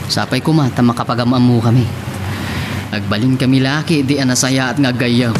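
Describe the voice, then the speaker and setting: A man's voice: medium pitch, level tone, a little fast, over music, lightly acted. A man with an Ilocano Filipino accent playing a reluctant, serious young businessman in a radio drama, on a noisy recording. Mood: reluctant, earnest. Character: reluctant, serious young businessman, quietly smitten with another woman.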